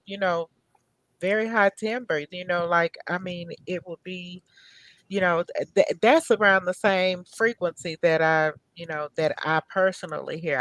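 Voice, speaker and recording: Host rambling through a video call. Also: female voice, medium pitch, no echo, low-quality recording. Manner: rambling, searching for words with many fillers. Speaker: talk show host. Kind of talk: casual interview over a video call.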